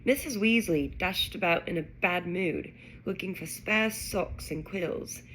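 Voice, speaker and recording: A woman putting on a British accent to read a children's storybook aloud in a measured, expressive way. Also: on the low side, slight background noise, low-quality recording. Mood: storytelling. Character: British storybook narrator reading a wizard school tale. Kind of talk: scripted narration.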